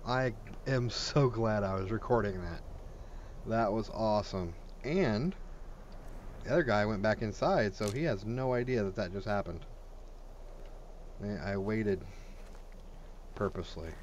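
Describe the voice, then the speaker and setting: A man's voice: lowish voice, unhurried, long pauses, dull audio, slight background noise. A man giving casual, blunt and sweary commentary while he plays and reviews a video game. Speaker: gamer reviewing a game mod.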